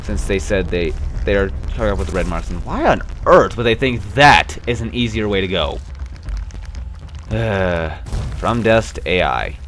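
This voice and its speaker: A man's voice: on the low side, lively intonation, unhurried, fairly bright tone, slight background noise. A young man hosting a casual let's play, rambling and wryly complaining about the game, a bit stuffy with a slight cough. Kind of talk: casual gameplay commentary.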